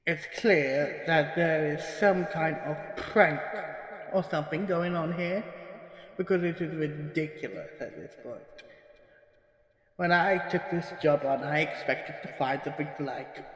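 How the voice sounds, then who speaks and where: Man as a slow-talking, jaded archivist, echoey. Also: on the high side, a bit bright. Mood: fed up. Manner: dismissive, grumbling, weary. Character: jaded, sceptical paranormal archivist dictating case notes. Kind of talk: parody horror audio drama narration. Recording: echoey room with long reverb.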